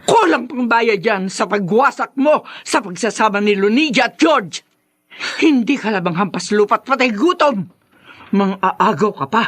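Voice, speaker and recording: A woman's voice: mid-range voice, expressive, normal speed, natural voice. A woman playing a haughty, meddling middle-aged mother, scornful and scolding in Filipino radio drama style. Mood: enraged, scornful.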